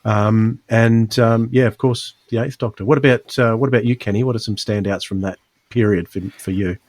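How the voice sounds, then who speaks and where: Halting, casual man with a lowish voice. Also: steady tone, darkish tone, no echo. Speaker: podcast host. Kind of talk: casual chat, fan discussion.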